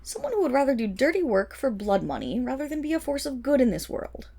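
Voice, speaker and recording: A woman's voice: sits high, very animated, fast talker, dark tone, exaggerated voice. A woman playing a haughty, indignant young wolf monstergirl of noble birth in a dramatic ASMR roleplay, speaking disdainfully straight to the listener. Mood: scornful.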